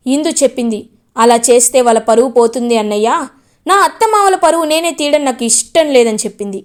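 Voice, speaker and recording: A woman voicing an indignant young man who scolds loudly, retelling a family drama aloud. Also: sits high. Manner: raised, scolding delivery. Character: indignant young man, protective older brother.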